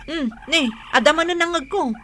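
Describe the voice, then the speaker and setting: Woman acting a high, bright Ilocano youngster. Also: moderate pace, slight background noise. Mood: alert. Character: alert younger daughter or son of the household. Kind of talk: comedy radio drama acting.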